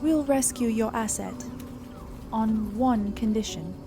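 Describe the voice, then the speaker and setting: A woman's voice: on the high side, slow pace, music in the background. A woman voicing a composed, assured female elf adventurer in a fantasy game. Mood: cool bargaining.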